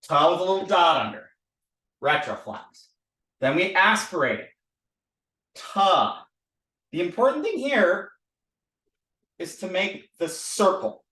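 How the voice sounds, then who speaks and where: A man teaching at a board, animated and drilling sounds by repetition. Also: high-pitched, slow pace, pauses often, roomy sound, far from the mic, rough recording. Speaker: language teacher. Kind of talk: recorded board lesson. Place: indoor room with a board.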